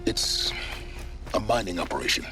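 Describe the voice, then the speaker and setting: A secretive guide who gives curt, commanding orders in a low, lively voice. Music plays clearly under this game dialogue. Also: male voice, moderate pace. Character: secretive commanding male guide over radio. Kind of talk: game voice acting.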